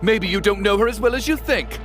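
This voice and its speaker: A man's voice: high voice, a little fast, natural voice. A man playing a pompous, blustering, suspicious rich windbag in a video game, theatrical and accusatory. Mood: insinuating. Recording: background music.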